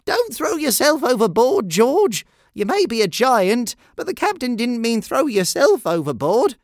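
A man's voice: very high voice, very animated, unhurried, no room sound, clean studio sound, pitched above the performer's own voice, exaggerated voice. A man voicing an anxious, earnest male pirate first mate in a story, urgent and exclaiming. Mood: alarmed. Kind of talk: acted children's storybook character.

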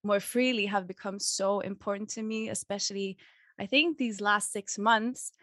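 A woman's voice: medium pitch, steady tone, moderate pace, fairly bright tone, dry recording, clean studio sound. A young woman hosting a spiritual podcast, talking in an effusive, heartfelt, chatty way. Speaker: podcast host. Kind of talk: casual podcast interview.

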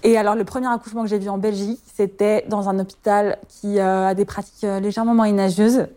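A woman in her thirties, a midwife. She talks in a mellow, rounded voice at a middle pitch, full of pauses and small fillers. She laughs easily as she thinks aloud. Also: flat delivery. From France. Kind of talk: personal interview testimony.

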